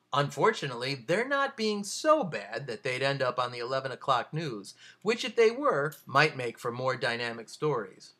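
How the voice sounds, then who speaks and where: A man speaking casually to a camera as an author, in a halting, digressive way with dry, self-deprecating deadpan humour. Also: on the high side. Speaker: author reading a bad review of their own book. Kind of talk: casual video monologue with read-out excerpts.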